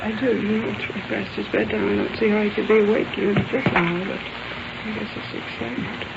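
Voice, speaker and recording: A woman's voice: medium pitch, fairly even intonation, brisk, gravelly, heavy background noise. An American woman in plain, polite, unscripted conversation, caught on a muffled 1950s radio field recording. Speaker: member of the public, a mother answering police. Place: at her home at night.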